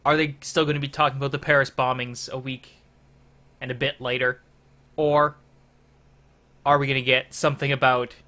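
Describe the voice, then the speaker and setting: A man's voice: medium pitch, bright, forceful tone. A man rambling casually and a little hesitantly as he hosts a gaming series, bemused by what he finds. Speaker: host of a gaming driving series. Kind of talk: casual rambling game commentary.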